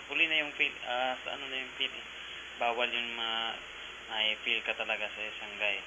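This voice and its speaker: A man's voice: mid-range voice, flat delivery, moderate pace. A Filipino man giving casual, emphatic advice on a radio show. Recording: noisy, hissy radio audio.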